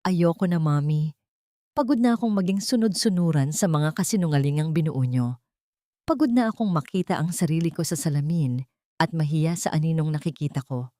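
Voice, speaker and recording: A woman voicing an anguished but resolute grown man in an audio drama, speaking in a measured, pleading way. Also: deep voice, lively intonation, warm tone, no echo, clean studio sound. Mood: weary, resolute. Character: anguished, resolute grown son confronting his mother.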